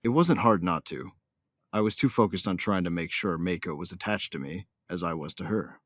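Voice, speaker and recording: A man's voice: low-pitched, normal speed, no room sound, clean studio sound. A man playing a cocky, hot-tempered bounty hunter who tells his own story in a brash, colloquial, hard-boiled way. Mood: smug, easygoing. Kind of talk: first-person dramatised audiobook narration.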